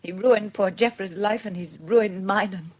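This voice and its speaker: An actress playing an anxious, wronged wife in a radio drama, wistful and restrained, with a British accent. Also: female voice, mid-range voice, measured pace, close to an everyday voice. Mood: wistful, pained. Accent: British English. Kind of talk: BBC radio drama acting.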